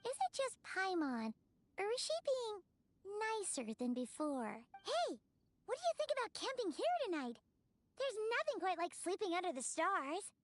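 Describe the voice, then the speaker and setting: A woman voicing a small, chirpy female fairy companion who talks about herself in the third person, in a high, squeaky, childlike voice. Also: big pitch swings, moderate pace, no room sound. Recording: game audio with loud music behind.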